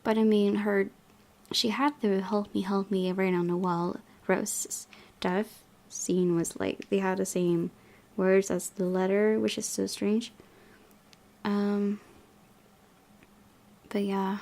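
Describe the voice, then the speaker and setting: A woman hosting a casual game playthrough, reading and commenting, thinking aloud in a halting way. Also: medium pitch, flat delivery, darkish tone. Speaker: gaming video host.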